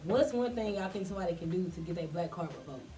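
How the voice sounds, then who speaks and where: A woman's voice: fairly low pitch, expressive, quick pace, mellow. A young woman hosting a casual group chat in a slangy, informal way. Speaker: podcast host. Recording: distant mic, music behind.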